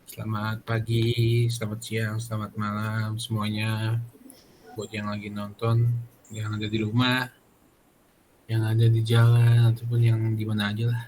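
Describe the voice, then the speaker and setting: Young man hosting, flat pitch, noisy recording. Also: unhurried. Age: young adult. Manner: informal, slangy, frequent "gitu kan" tags. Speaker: student podcast host. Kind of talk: casual podcast chat.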